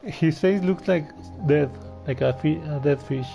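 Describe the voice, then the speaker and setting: A man giving casual, halting playthrough commentary as he reacts to a game. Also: fairly high pitch, creaky, mellow. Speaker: game streamer. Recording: dull mic, faint game music behind. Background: faint game music.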